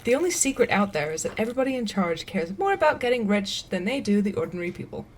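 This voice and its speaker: A woman's voice: mid-range voice, big pitch swings, quick pace, a little hiss or hum, natural voice. An actress playing a blunt, skeptical young woman who talks in a wry, casual way in an audio drama. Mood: dismissive.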